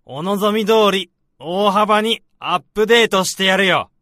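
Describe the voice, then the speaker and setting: A man's voice: high voice, moderate pace, sharp tone, no room sound, studio quality. A man playing a blunt, hot-tempered AI developer in a radio drama, with dramatic, emphatic delivery. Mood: bitter, menacing. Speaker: lead character and narrator.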